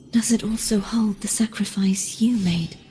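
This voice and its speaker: An actress playing a hesitant, earnest young warrior maiden in a fantasy game, with hushed, breathy delivery. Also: female voice, medium pitch, steady tone, takes it slowly, slight background noise, close to an everyday voice. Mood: hushed wonder.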